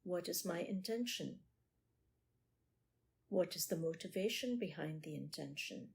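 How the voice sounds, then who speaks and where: A woman leads a guided meditation at a very slow pace. Her voice sits a little low and has a husky, breathy grain. She gives each instruction in short, measured phrases, leaving space after them. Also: expressive. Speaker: meditation teacher.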